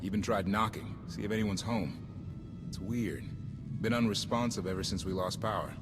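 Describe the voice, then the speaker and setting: A man's voice: on the low side, moderate pace, natural voice. An actor playing a casual, defensive ship crewman in a video game, informal and offhand. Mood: puzzled. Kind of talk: video game cutscene acting. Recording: reverberant hall sound with music behind.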